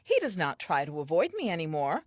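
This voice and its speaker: An eager, very animated first woman. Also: low-pitched, a little fast, no room sound, clean studio sound, heavily acted. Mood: pleased, self-assured. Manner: expressive, earnest, chatty. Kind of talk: comic audio play monologue, diary entries.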